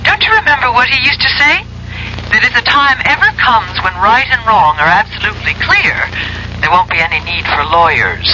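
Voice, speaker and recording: An actress playing an anxious, affectionate woman in a 1970s radio drama, pleading and gently reproachful. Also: a little high, expressive, a little fast. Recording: dull vintage radio with faint noise.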